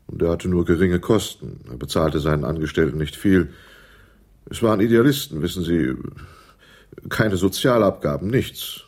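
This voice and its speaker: An actor playing a smooth, evasive male accountant in a German radio crime drama, speaking in a measured, hedging way. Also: sits low, darkish tone, full character voice. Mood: matter-of-fact.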